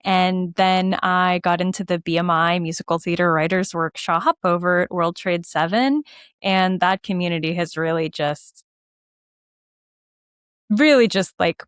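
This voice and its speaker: A woman speaking as an interview guest in a casual, animated, informal way. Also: on the low side, unhurried, smooth, dry recording, clean studio sound. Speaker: guest, musical theater writer and performer. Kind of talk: casual conversational interview answers.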